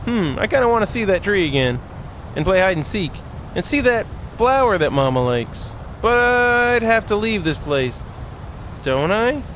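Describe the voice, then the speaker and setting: Man voicing an anxious, high-pitched little girl. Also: sing-song, moderate pace, fairly bright tone, a little hiss or hum. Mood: wistful. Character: anxious young girl, sleepy, sheltering from a winter storm. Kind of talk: visual novel character read aloud.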